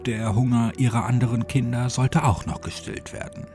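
A man narrates a scripted horror story in audiobook style. His voice sits at a medium pitch. Music runs underneath the reading. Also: fairly even intonation, moderate pace.

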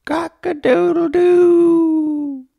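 Shrill rooster, very high, slow belted crow, insistent, storybook animal. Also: level tone, gravelly, mellow, no echo, studio quality, heavily acted. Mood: loud, insistent. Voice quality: shrill, piercing. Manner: drawn-out, belted crowing.

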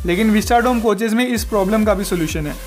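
A man's voice: high voice, fast talker, soft background music. A man hosting a travel channel, reading a scripted voice-over in an upbeat, recommending tone.

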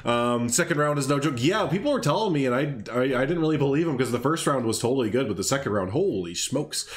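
A man's voice: mid-range voice, expressive, fast talker. Stuffed-up nasal man streaming. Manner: chatty, rambling with frequent fillers. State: congested, stuffed up. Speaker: streamer hosting a game showcase. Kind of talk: casual live-stream commentary.

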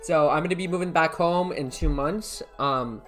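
A man's voice: fairly high pitch, normal speed, a bit bright, soft background music. Chatty young American man streaming, upbeat. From United States. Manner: rambling, repeats himself, thanks viewers by name. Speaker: live streamer. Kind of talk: casual live-stream chat, reading chat aloud. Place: indoor room at a computer. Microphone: headset.

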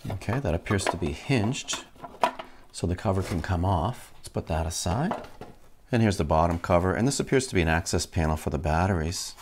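Man, very low pitch, animated intonation, casual unboxing narration, unhurried step-by-step manner. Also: background noise. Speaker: host of a vintage electronics channel.

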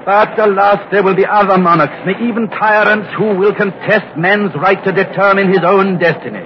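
A grandiloquent, mocking medieval scholar acted by a man in an old radio play. His high voice declaims with theatrical rhetoric, on a muffled recording with faint noise. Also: fairly even intonation, normal speed, lightly acted. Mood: declaiming, prophetic. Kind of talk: 1950s radio drama acting. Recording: dull, noisy vintage radio recording.

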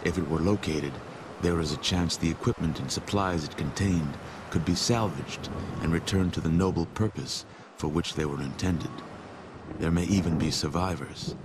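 A man playing a solemn storyteller, narrating a video game cutscene in a grave, measured manner. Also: sits low, background noise, lightly acted. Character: solemn male storyteller of a wasteland order. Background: music.

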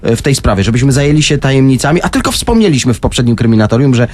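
A man's voice: medium pitch, animated, very fast, darkish tone. A man hosting a conversational true-crime show on the radio, speaking speech from a studio. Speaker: radio host.

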